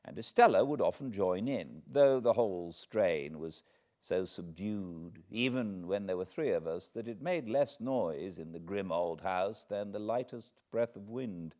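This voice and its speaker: A man, reading a classic novel aloud in measured, expressive storytelling. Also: deep voice. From Britain. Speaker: audiobook narrator. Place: cozy home spot beside a fireplace.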